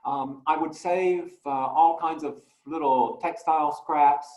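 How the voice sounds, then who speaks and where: A man presenting his artwork in a talk, speaking slowly and reflectively with many fillers and restarts. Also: a little high, fairly even intonation, a little mellow, reverberant, poor audio. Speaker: guest artist.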